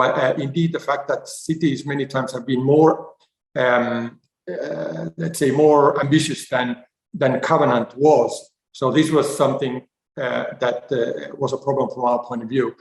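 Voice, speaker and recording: A man with a Finnish accent speaks at an online conference from an echoey room. His voice is mid-pitched, and he talks haltingly, repeating words as he builds his point. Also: creaky. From Finland. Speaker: conference speaker, climate initiative representative. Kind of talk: spontaneous remarks at an online conference.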